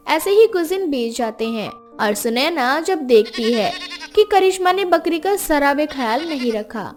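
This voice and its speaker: Casting: female narrator for moral tales, high-pitched, scripted storytelling delivery over faint music.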